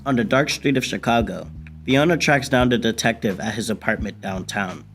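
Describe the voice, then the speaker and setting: A man's voice: fairly low pitch, normal speed, noisy background. A young man giving a dramatic scripted narration for an amateur radio mystery. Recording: music under the voice.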